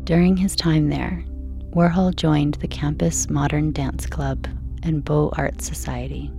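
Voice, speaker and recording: A woman calmly reading a scripted bedtime narration in a hushed, measured and soothing way. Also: sits low, vocal fry, soft background music. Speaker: narrator of a sleep podcast.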